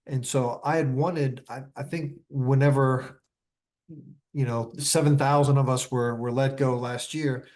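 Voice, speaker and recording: A man's voice: medium pitch, fairly even intonation, a little slow, a little mellow. A man speaking as an author guest in a casual interview, stringing thoughts together loosely and repeating words. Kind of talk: casual, reflective conversation.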